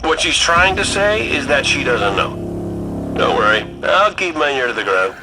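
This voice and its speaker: An actor playing a roguish android scout commander in a video game, breezy and sardonic as he hands out brisk orders. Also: a little high, very animated, noisy background. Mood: wry, protective. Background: loud music.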